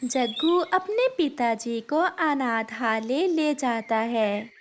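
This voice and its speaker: Female storyteller for cartoon narration, high-pitched, reading at a very slow pace. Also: expressive, a little hiss or hum.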